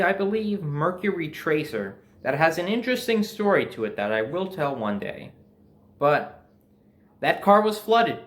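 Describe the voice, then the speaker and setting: A man's voice: on the high side, fairly bright tone. Casual, emphatic man telling a personal story. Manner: informal, profanity-laced, emphatic. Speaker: storyteller host.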